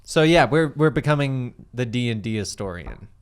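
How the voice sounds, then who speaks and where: A man's voice: medium pitch, expressive, moderate pace, clear, resonant tone. A man playing on a tabletop game show, chatting in a playful, informal way. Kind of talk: casual joking banter.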